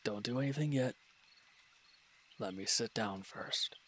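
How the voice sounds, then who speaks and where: A man playing a wounded, irritable villain in an audio roleplay, gruff and curt but grudgingly caring, with an exasperated, grumbling delivery. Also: mid-range voice, measured pace, a bit bright. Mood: pained, grumbling. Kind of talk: scripted audio roleplay acting.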